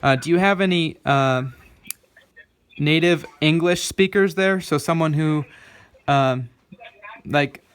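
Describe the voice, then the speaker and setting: A man's voice: a little high, slow pace, long pauses, smooth. A man from the United States talking casually on a call in a hesitant, polite, questioning way. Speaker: call recipient recording a scam call. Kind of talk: casual phone conversation. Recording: faint noise with clicks.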